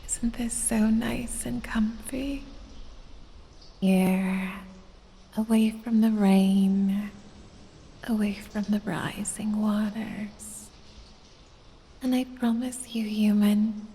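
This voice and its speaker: A woman voicing an evil, seductive lamia toying with her prey in a breathy, sultry, gloating ASMR roleplay whisper. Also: medium pitch, fairly even intonation, mellow. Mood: coaxing. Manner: slow, sultry, drawn-out, gloating purr. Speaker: audio roleplay performer. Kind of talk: ASMR roleplay, addressing the listener. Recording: long cave-like reverb, faint background noise.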